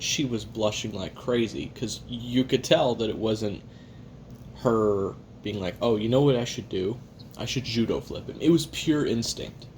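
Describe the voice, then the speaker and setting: A man plays a hard-drinking, jaded male assassin taping his memoirs for an audio roleplay. His voice is low, with a gravelly fry, and he rambles wryly, cursing and putting himself down. Clear hiss and rumble sit under the recording. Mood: animated, boastful. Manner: profane, wry, rambling, self-deprecating. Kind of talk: confessional audio roleplay monologue.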